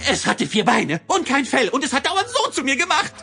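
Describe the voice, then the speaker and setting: A woman voicing an excitable, timid, giggly little round ghost in a children's audio drama, with theatrical delivery. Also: high voice, brisk, creaky, soft background music, exaggerated voice. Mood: dramatic, frightened.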